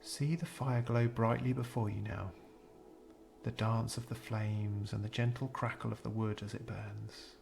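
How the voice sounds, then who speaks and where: Male meditation narrator, gravelly creak in the voice, soothing and unhurried scripted read with pauses, some background noise. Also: fairly low pitch, steady tone. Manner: measured, soothing, gentle delivery with pauses.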